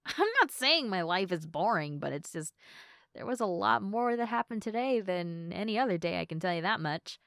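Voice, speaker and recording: A woman playing a sleepy, affectionate girlfriend in an intimate ASMR roleplay, chatting softly. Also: medium pitch, expressive, moderate pace, creaky, clean tone, no echo, studio quality. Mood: chatty, mildly exasperated.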